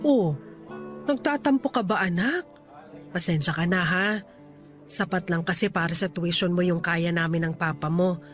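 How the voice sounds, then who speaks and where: A woman playing a doting Filipino mother in a radio drama, chatty and gushing with admiration as she gently compares her child. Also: lowish voice, moderate pace, long pauses, faint music behind, natural voice. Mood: gently explaining.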